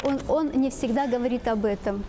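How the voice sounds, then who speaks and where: A middle-aged woman explaining things as an expert guest on a talk show, in a halting way that repeats phrases. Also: fairly high pitch, background noise. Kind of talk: explaining children's drawings to parents. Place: TV studio with seated guests.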